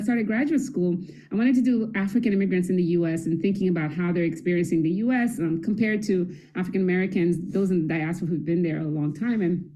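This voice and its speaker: Mellow middle-aged woman telling stories. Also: mid-range voice. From Haiti. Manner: chatty storytelling with laughs and self-corrections. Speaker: guest academic. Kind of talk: conversational interview answers.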